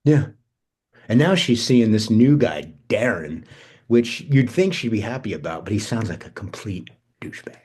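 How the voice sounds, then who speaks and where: A man plays an impulsive, foul-mouthed American cousin in a drama script read. The voice is notably husky and a bit low, swinging widely in pitch. He talks casually and with animation. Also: lively intonation, normal speed, a little mellow. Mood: gossipy, guarded. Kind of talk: screenplay table read.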